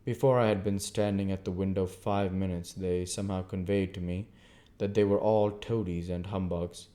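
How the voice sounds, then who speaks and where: Man, low voice, dark mellow timbre, amateur novel narration, channel host reading aloud. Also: flat delivery, normal speed. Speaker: audiobook reader and channel host.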